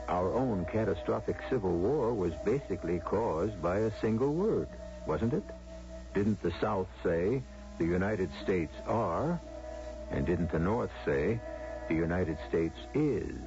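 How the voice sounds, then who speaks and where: Male host, low voice, dramatic scripted narration, rhetorical, portentous, lively intonation, music behind, dull old radio sound. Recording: muffled old radio broadcast recording.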